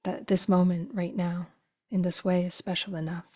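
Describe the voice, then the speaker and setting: A woman guiding a meditation in a soft, breathy voice that often drops toward a whisper, with slow, gentle phrases and long pauses. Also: on the low side, little pitch movement. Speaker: meditation teacher. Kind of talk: guided meditation.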